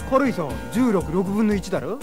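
A man playing a brash, mocking high school boy, cheeky and challenging, in an audio drama. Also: lively intonation, moderate pace, music in the background.

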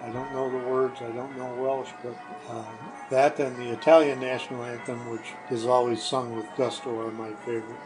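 Halting man answering questions over music. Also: medium pitch, little pitch movement, poor audio. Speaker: recurring interview guest.

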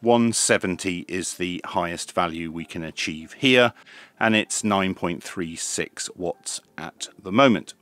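A man in his fifties presenting a product review in a measured, methodical way, step by step. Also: deep voice, a bit bright, no echo. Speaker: presenter of radio equipment reviews. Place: small indoor home radio workshop.

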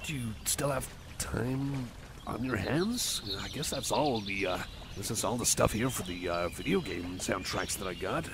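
Man acting a shy, stammering, creaky-voiced cyborg buddy. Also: on the low side, expressive, measured pace, background noise. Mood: shy, friendly. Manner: hesitant, stammering, apologetic. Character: shy, awkward, eager-to-please young male cyborg buddy. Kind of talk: ASMR roleplay, rambling to the listener.

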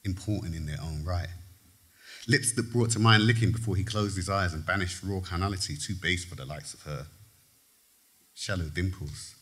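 A man reads his own fiction aloud at a lectern on a theatre stage, his fairly low voice measured and sinking at the close of each phrase. It is a live literary reading before an audience. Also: fairly bright tone, breathy edge. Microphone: lectern mic, far camera.

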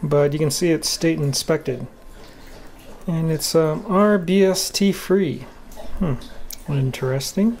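A man's voice: a little high, slow pace. Musing man vlogging from a handheld phone. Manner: relaxed, musing, trailing off with fillers. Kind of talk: casual travel vlog. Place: outdoors in snowy town, then in a truck, then indoors at a table. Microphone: handheld phone at arm's length.

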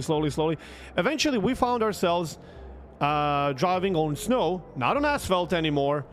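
A young man with a Croatian accent and a fairly high voice tells a story casually to his stream. He is emphatic and swears freely, repeating words to drive a point home. He speaks close to a desktop mic, with music faintly behind him. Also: a bit bright. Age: young adult. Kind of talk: casual storytelling to a livestream chat. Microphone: close desktop microphone with headset.

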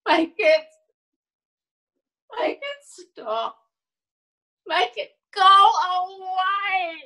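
A woman performing a dramatic monologue as a distraught, bewildered woman, speaking haltingly with repeated phrases and long pauses. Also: high voice, animated, a bit bright, full character voice. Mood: anguished, bitter. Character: distraught, bewildered woman whose life has suddenly fallen apart.

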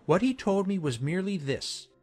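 A woman voicing a genial, formal gentleman colonel in a measured, understated way for a dramatized audiobook. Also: on the low side, expressive, faint music behind. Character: genial gentlemanly English colonel, adult man, lightly jesting. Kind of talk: dramatized audiobook character dialogue.

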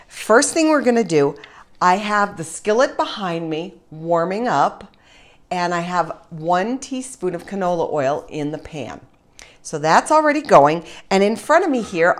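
A woman hosting an informal cooking demonstration, giving step-by-step instructions with chatty little asides. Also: lowish voice. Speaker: home cooking show host. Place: home kitchen, indoors. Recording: kitchen noise, faint sizzling and clatter.

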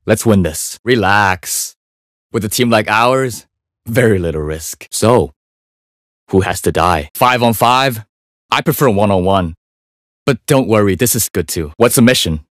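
A young Chinese male assassin from a video game with a fairly low, composed voice. He is focused and courteous yet deadly, speaking in cool, clipped, understated lines. The sound is close and dry. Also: no room sound.

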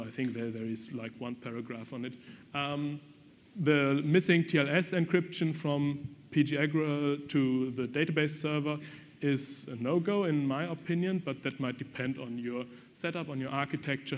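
A man's voice: medium pitch, steady tone, moderate pace. A man giving a technical conference presentation, talking frankly with frequent ums and restarts. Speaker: conference speaker. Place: conference hall. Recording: long reverb from lecture hall.

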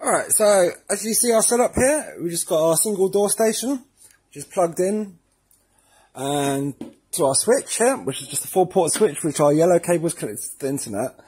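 A man presenting a casual step-by-step tutorial with a slightly husky voice, informally talking through each step as he does it. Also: high-pitched, lively intonation, normal speed, darkish tone.

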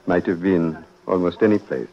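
An actor playing a courtly, mysterious prince with formal, polished manners in an old-time radio drama. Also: male voice, deep voice, moderate pace, muffled recording. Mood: suave. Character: suave, courtly, mysterious prince, devilish collector.

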